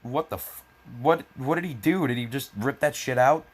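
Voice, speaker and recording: A man's voice: mid-range voice, moderate pace. A man giving a mocking dramatic reading, breaking off into crude, exasperated, sarcastic commentary. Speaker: comedic fan fiction reader.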